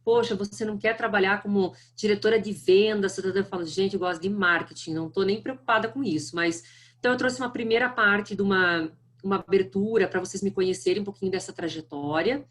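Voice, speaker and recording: A woman's voice: medium pitch, brisk, fairly bright tone. A middle-aged woman with a strong southern Brazilian accent, giving a friendly, chatty guest lecture over a video call. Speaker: guest speaker. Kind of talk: online lecture.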